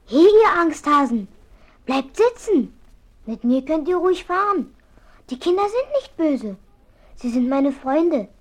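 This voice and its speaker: A man voicing a plucky little yellow teddy bear in a squeaky, childlike voice for a children's radio story. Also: very animated, measured pace, exaggerated voice. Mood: rallying, bold.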